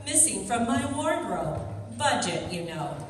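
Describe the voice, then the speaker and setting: A woman's voice: mid-range voice, big pitch swings, unhurried. A woman playing a sassy, defiant young woman who is quippy and indignant, in an old-time radio detective drama. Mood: dry, sassy. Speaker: amateur radio theatre actor. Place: live stage performance in a large room. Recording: very echoey hall from far off, faint noise.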